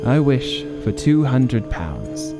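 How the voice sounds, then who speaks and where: A man's voice: mid-range voice, sing-song, slow, over music. A man voicing a curious, credulous old father with an earnest, hesitant manner in an audiobook. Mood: solemn. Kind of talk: audiobook character voice. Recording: long reverberant hall-like echo.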